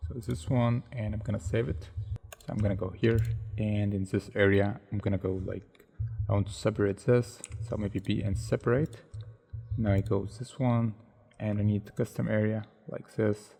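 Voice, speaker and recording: Gravelly low-voiced man thinking aloud. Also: steady tone, dark tone. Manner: unhurried, thinks aloud step by step with many hesitations; non-native English phrasing. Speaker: software tutorial instructor. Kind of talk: narrating a screen-recorded modeling tutorial.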